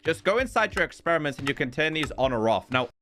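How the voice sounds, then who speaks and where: A man's voice: fairly high pitch, sing-song, fast talker, pushed and bright, a little hiss or hum. A man hosting a gaming video, giving casual update commentary and explaining things step by step. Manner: explanatory, walking through menus step by step. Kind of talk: casual scripted-sounding game update commentary. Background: background music.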